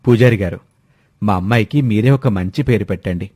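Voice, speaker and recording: A man voicing a kindly, patient wealthy landlord in a village storybook, gentle and reassuring. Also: fairly low pitch, a little fast, dry recording, studio quality, close to an everyday voice. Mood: respectful.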